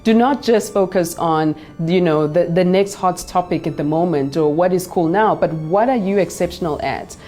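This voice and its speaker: A woman from South Africa in her thirties speaks to camera in a studio. Her voice sits low and mellow. She talks with calm confidence, and faint music plays behind her. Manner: confident, measured, motivational. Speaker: business speaker and advisor. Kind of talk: personal story to camera. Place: studio with pink backdrop.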